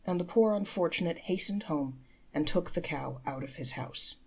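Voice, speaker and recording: A woman's voice: fairly low pitch, moderate pace, slightly rough. A woman storyteller narrating a folktale aloud for children in a read-aloud style.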